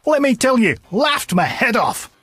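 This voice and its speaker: A man's voice: extremely high pitch, big pitch swings, unhurried, fairly bright tone, breathy edge, full character voice. An actor playing a bitter dwarf townsman in a fantasy video game, with sarcastic, grumbling gallows humour. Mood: bitterly sarcastic. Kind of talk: video game dialogue acting.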